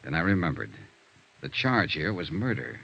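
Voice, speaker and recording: An actor playing a hard-boiled insurance investigator, narrating crisply and dramatically in 1950s radio detective style. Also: male voice, deep voice, sing-song, normal speed, fairly bright tone, slight background noise, heavily acted. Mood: reflective, noirish. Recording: dull vintage radio recording.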